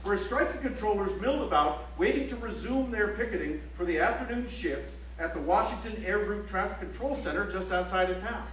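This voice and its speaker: Serious male reporter, high voice, stage news delivery; distant, echoey auditorium sound with faint hum. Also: animated, brisk, few pauses, fairly bright tone. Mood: grave, emphatic. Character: serious newspaper reporter reciting strike news. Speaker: stage actor. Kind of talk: theatrical reading of news reports. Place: library auditorium stage, live play.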